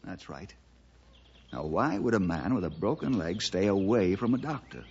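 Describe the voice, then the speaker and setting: An actor playing a calm, level-headed western lawman hero, speaking in a measured, probing way in a radio drama. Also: deep voice, vocal fry, slight background noise, full character voice. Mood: thoughtful, quiet. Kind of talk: old-time radio western acting. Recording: dull vintage radio recording.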